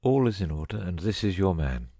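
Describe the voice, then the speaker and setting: An actor playing a cunning detective with a husky voice in a dramatic audiobook reading. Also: male voice, deep voice, sing-song, fairly quick, dark tone, dry recording, studio quality, heavily acted. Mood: composed, wry. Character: cunning male detective, first feigning a dying illness, later brisk.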